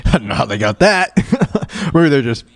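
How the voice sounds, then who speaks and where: A man's voice: fairly high pitch, sing-song, measured pace, over music. A man hosting a casual talk show, reacting in a folksy way and often groping for words and repeating himself. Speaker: show host.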